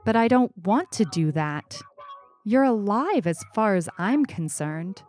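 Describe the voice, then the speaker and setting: Storybook schoolgirl read by a woman: earnest, anxious, pleading, pitched up from the narration, light music behind. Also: sing-song, measured pace, a little mellow. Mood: distressed refusal. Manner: pleading, whispery at times.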